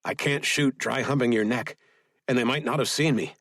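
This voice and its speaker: A man's voice: mid-range voice, moderate pace, a bit bright, no room sound, studio quality, pitched above the performer's own voice. A man voicing a stubborn, injured soldier comrade in an audiobook, strained and insistent. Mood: urgent. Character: injured, gritty male comrade, stubbornly self-sacrificing.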